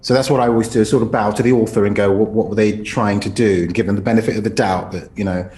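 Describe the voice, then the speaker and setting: A man's voice: on the low side, steady tone, fast talker, darkish tone, echoey room, soft background music. A male voice actor talking casually in an interview about his craft. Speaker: voice actor, narrator.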